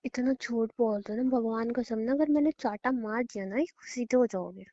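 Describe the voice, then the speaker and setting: A woman's voice: on the high side, moderate pace, warm tone. A young woman bantering playfully with her boyfriend in a casual phone call. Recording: dull lo-fi call recording.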